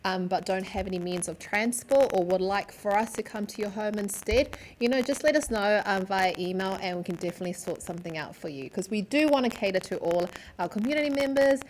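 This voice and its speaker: A woman's voice: medium pitch, a little fast, few pauses, slight background noise, poor audio. Upbeat New woman co-presenting. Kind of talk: casual studio chat show.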